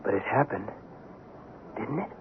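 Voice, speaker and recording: An actor playing an earnest, level-headed producer's assistant in a radio drama, plainspoken and questioning. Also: male voice, fairly low pitch, a little slow, soft background music, close to an everyday voice. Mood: disbelieving. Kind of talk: old-time radio drama acting. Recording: muffled, hissy old radio recording.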